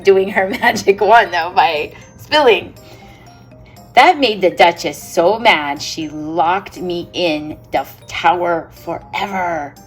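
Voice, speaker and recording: A woman teacher reading a picture book aloud to children, chatting and asking them questions about the pages. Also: low-pitched. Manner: chatty, asks the children questions and laughs along.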